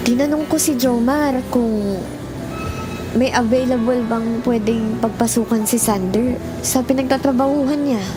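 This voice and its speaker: A woman's voice: on the high side, close to an everyday voice, rough recording. A woman with a Filipino accent playing a caring best friend, soothing and sympathetic, in a melodramatic drama. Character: caring, consoling best friend of a bereaved mother. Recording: music under the voice.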